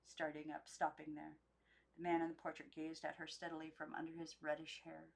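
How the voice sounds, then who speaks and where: A young woman reads a storybook aloud in an unedited, expressive narration with occasional stumbles. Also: on the low side, creaky, low-quality recording. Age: young adult. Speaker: amateur book reader.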